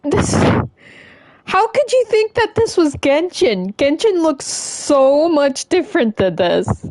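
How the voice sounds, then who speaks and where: A woman game streamer chatting casually and informally as she plays, in a halting, rambling way. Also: high-pitched, big pitch swings, a little mellow, no room sound, poor audio. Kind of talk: casual live-stream chat while playing.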